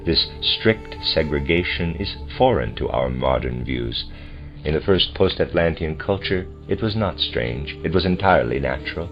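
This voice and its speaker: A man reading a translated lecture aloud like an audiobook, with measured, formal and deliberate phrasing. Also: a bass voice, animated. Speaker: lecture reader. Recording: dull lo-fi recording with music behind. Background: music.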